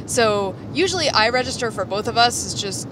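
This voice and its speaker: A woman with a fairly high voice talks casually as a podcast co-host. Clear road noise sits behind her, as she is recording in a moving car. Her delivery is upbeat and enthusiastic. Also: animated, brisk. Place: inside a moving car, while driving. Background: vehicle and road noise.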